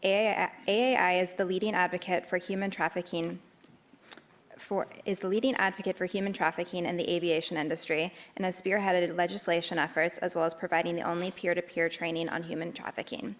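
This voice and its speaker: Lowish-voiced woman introducing a panelist. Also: little pitch movement, fairly quick. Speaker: panel moderator introducing a speaker. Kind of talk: reading a scripted introduction.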